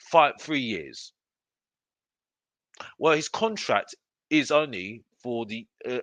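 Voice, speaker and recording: Bright, emphatic man ranting. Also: medium pitch, no echo. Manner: emphatic, repetitive, exasperated. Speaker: host of a football fan show. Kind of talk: live opinionated fan rant to viewers.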